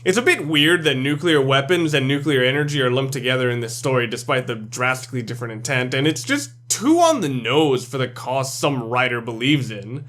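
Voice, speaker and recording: A man's voice: mid-range voice, expressive, brisk, hardly any pauses, fairly bright tone. A man reading scripted commentary for a video game ranking list, blunt and opinionated.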